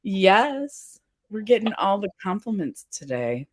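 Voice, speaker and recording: A woman's voice: mid-range voice, sing-song, slow, a little hiss or hum. A woman hosting a casual livestream, chatting and reading viewer comments aloud. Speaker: livestream face paint host.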